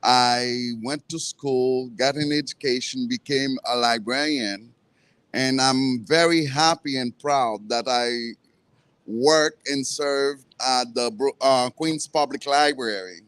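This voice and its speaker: A man with a Haitian accent, a native Creole speaker, giving halting, measured personal remarks at a press conference. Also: medium pitch, fairly bright tone, smooth, dry recording. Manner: halting, measured, with self-corrections. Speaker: librarian, campaign participant. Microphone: event microphone.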